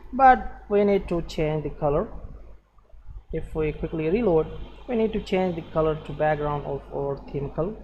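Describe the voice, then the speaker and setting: A man presenting an unscripted screen-share programming tutorial, giving halting step-by-step instructions in short phrases. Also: on the high side, muffled recording, a little hiss or hum.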